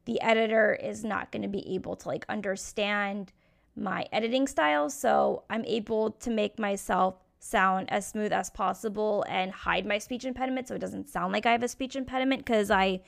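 A woman's voice: medium pitch, normal speed, gravelly. A young woman hosting her own podcast, talking candidly in a casual solo monologue full of "like" fillers. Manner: candid, frank, frequent "like" fillers. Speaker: podcast host.

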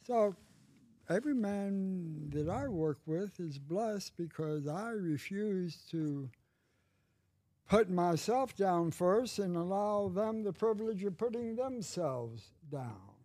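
Guest voice: wry, bawdy man in his late eighties, slow unhurried delivery, slightly raised pitch, dry close sound. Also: animated, mellow, no echo. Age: 80s. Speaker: podcast guest. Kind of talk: casual chat.

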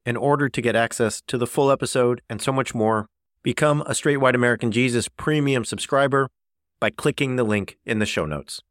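A man's voice: mid-range voice, brisk, a bit bright, no echo, clean studio sound. A middle-aged man hosting a podcast, chatting in a friendly, joking way with hesitant fillers. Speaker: podcast host. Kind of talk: casual podcast chat.

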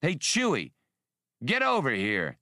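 A man voicing a brash, decisive smuggler and space pilot in an audiobook, with a brisk, commanding, wisecracking manner. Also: medium pitch, huge pitch swings, pushed and bright, dry recording, clean studio sound, pitched above the performer's own voice, full character voice. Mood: urgent. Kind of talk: audiobook character dialogue.

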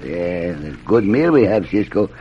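An actor playing a simple, excitable Mexican cowboy sidekick who speaks broken English in an old radio western. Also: male voice, low voice, measured pace. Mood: sleepy, contented. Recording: muffled, noisy old radio broadcast.

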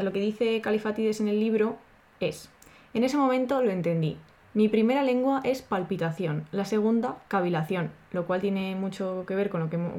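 A woman co-hosting a podcast in a lively, informal way, casually swearing as she talks. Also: mid-range voice. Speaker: podcast co-host.

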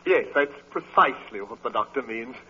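A man's voice: medium pitch, expressive, fairly quick, pushed and bright, husky, full character voice. A man acting in an old-time radio comedy as an anxious helper who prompts and covers for a phony doctor. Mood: covering up. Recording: muffled, noisy vintage radio broadcast.

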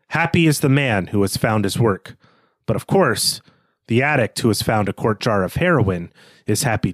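A man's voice: medium pitch, dry recording, studio quality. A man co-hosting a literary discussion podcast, talking in a reflective, analytical way. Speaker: podcast co-host.

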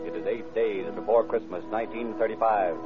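Male 1930s radio announcer, emphatic polished delivery, mid pitch, thin tinny recording, music behind. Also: fairly quick. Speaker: announcer and narrator. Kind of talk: 1930s radio announcing, scripted sales and narration.

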